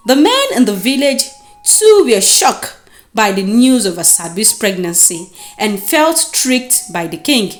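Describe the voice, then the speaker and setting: A woman with a Nigerian accent narrating a scripted folktale dramatically as a storyteller. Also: mid-range voice. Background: music.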